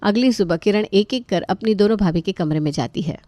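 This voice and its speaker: Woman, storyteller, scripted narration, medium pitch, dry close sound. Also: brisk, mellow, dry recording.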